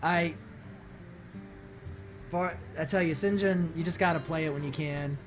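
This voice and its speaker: Hoarse man streaming a card game. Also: fairly even intonation, a little slow, music in the background. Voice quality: voice not very deep, sounds high-pitched. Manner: thinks aloud with many ums and restarts. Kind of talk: casual gameplay commentary.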